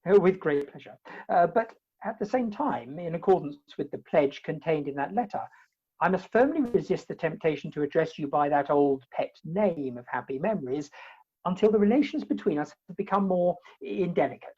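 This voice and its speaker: An actor playing a flustered, evasive gentleman in a comic radio farce, pompously formal and stammering, recorded over a video call. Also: fairly high pitch, animated, moderate pace, breathy edge. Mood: pompous evasion. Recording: dull, lo-fi video-call sound.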